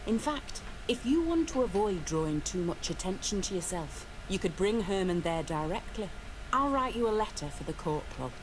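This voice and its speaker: A woman's voice: medium pitch, sing-song, normal speed, few pauses, lightly acted. Principled woman guard commander played by an actress, noisy background. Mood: businesslike. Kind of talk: video game voice acting.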